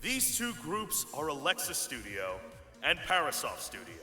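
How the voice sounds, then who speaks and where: A man playing an excited, showy announcer at a game awards ceremony, in an echoing hall. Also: fairly high pitch, measured pace, full character voice. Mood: formal, building suspense. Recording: echoing hall, music underneath.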